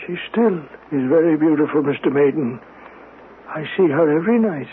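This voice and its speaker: An actor as a frail old gentleman in a radio mystery, haunted by an old love. His voice is high and halting, with words repeated, over a thin, phone-like, noisy broadcast recording. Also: lively intonation, lightly acted. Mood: wistful. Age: 70s or older. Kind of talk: radio drama acting.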